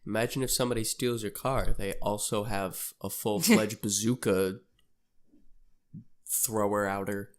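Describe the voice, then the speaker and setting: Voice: medium pitch, normal speed. A podcast co-host in casual chat, reasoning things through in a matter-of-fact, skeptical way.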